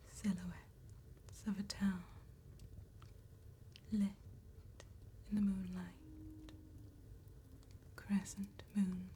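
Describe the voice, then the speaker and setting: A woman playing a seductive, predatory female vampire tattoo artist in a breathy, intimate ASMR whisper, slow, teasing and flattering. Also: mid-range voice, a little hiss or hum. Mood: soft, attentive. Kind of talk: ASMR roleplay, soft spoken and whispered.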